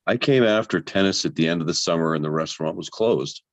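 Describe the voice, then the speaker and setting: A man's voice: low voice, quick pace. A man playing a sincere, slightly awkward young expectant father in a dramatic script table read, heard through a dull, lo-fi microphone. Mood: fond, reminiscing.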